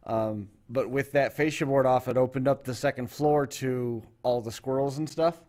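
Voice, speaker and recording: A man hosting a talk show in casual chat, telling stories in an unhurried, rambling way. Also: medium pitch, fairly even intonation, a little mellow.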